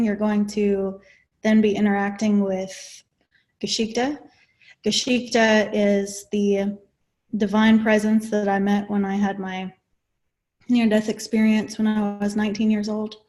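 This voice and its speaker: A woman in her forties opening a webinar as a spiritual channeler. She gives soft, halting, reassuring guidance into a computer mic. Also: medium pitch. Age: 40s. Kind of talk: introduction to a webinar.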